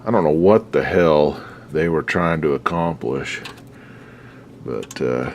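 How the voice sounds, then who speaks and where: A man's voice: rumbling low, takes it slowly, slight background noise. A man restoring an old radio at his workbench, giving rambling, folksy commentary with a lot of swearing. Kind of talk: casual workshop commentary.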